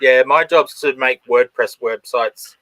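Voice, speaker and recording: A man's voice: mid-range voice, measured pace, fairly bright tone. A man with an Australian accent hosting a drawing stream, chatting casually and reading out viewers' comments. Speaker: comic artist and stream host.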